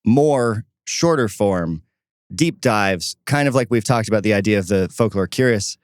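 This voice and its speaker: A male co-host talks in an informal podcast manner. His voice sits a bit low, and the recording is dry with no room sound. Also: lively intonation, moderate pace, no echo. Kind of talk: casual podcast chat.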